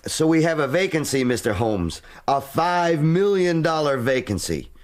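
A man's voice: fairly high pitch, very animated, normal speed. An actor playing a brash, impatient American man from Kansas with a folksy, blustery way of talking, in a radio drama. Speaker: visitor from Kansas.

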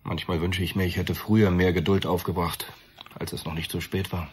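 An actor playing a bereaved, defensive middle-aged father, measured and earnest, in a radio drama. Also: sits low, flat delivery, slight background noise, lightly acted. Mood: regretful, bitter. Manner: measured, earnest, self-justifying. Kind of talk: German radio drama acting.

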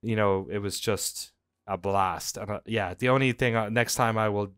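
An Irish man with a clear, smooth voice chats as a podcast co-host. His delivery is informal and animated, full of loose storytelling, and the sound is dry and close. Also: fairly low pitch, normal speed, dry recording. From Ireland. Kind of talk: casual chat, anecdotes. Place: indoor room at home on a video call.

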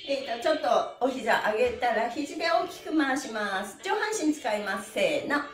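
Casting: middle-aged woman exercise instructor, bright-spirited and very lively in intonation, chanting counts, picked up from a distance in a roomy studio. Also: fairly high pitch. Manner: cheerful, animated, calling out drawn-out chants. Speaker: stretching instructor and pantomime teacher. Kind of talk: livestreamed exercise class with rhythmic chanted counts. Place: small indoor studio with bare wall. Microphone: camera a few metres away. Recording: roomy, distant microphone, faint music.

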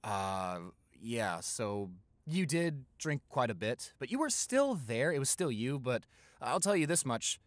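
A man's voice: mid-range voice, big pitch swings, unhurried, no room sound. A man playing a tender young boyfriend, closely recorded. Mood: playful, caring. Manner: conversational, hesitant, affectionate. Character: tender, playful, smitten young new boyfriend. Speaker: roleplay audio performer. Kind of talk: intimate boyfriend roleplay, improvised.

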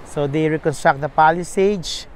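Brief: thirties man, fairly high voice, tour vlogger reading markers aloud outdoors. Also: normal speed. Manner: plain explanatory, reads signs aloud, self-corrects. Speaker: travel vlogger. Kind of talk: walking tour narration. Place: outdoors at a riverside historic site. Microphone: lapel mic, selfie camera at arm's length.

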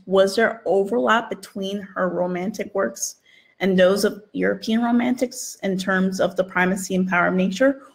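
A middle-aged woman hosts a live online discussion. Her pitch sits in the middle of the female range as she reads out questions and moderates over a video call. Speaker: host and moderator of a library event. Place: indoor book-lined room. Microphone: video call, computer microphone.

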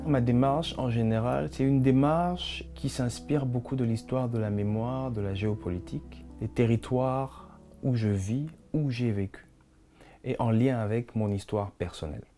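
A man's voice: mid-range voice, moderate pace, faint music behind. A man in his thirties, born and raised in Congo, talking reflectively about his art in a documentary interview in his studio. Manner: reflective, expressive hand gestures. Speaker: visual artist.

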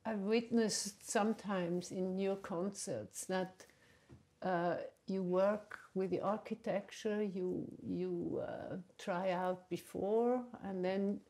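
A middle-aged woman interviews in English, being her language. Her voice is mellow and her delivery very slow, with hesitant searching for words. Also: medium pitch, steady tone. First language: German. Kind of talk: interview conversation. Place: indoor room at a large wooden table under glazed roof.

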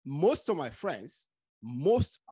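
Slow husky guy from nigeria hosting a podcast, studio mic, deliberate with restarts. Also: fairly high pitch, big pitch swings, warm tone. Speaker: podcast host. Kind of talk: casual interview chat. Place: home study with bookshelves. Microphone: studio mic with headphones.

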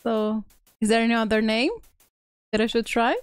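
A woman's voice: a little high, flat delivery, moderate pace, smooth, no room sound, studio quality. A woman hosting a casual live game stream, playful and exclamatory, chatting with viewers and reading text aloud. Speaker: streamer, video game host. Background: faint video game music.

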